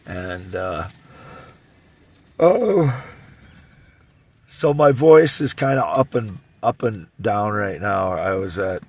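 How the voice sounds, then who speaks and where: A man vlogging casually, with a scratchy, slightly constricted voice and a rambling, halting way of talking. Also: on the low side, animated, breathy edge, no room sound. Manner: rambling, halting delivery with restarts. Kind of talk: casual self-recorded vlog.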